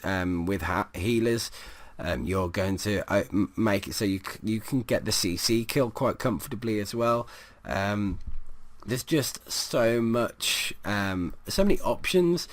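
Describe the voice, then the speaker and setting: Man, hoarse, losing his voice, lowish pitch, rambling casual game commentary, lots of fillers. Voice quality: deeper than usual. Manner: rambling, many fillers and restarts.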